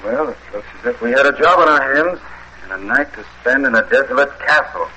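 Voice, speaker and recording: An actor with an American accent playing a practical, wry husband in a vintage radio drama. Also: male voice, medium pitch, expressive, brisk, pushed and bright. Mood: matter-of-fact. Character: practical, gruffly reassuring husband in a spooky castle. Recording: noisy old radio recording.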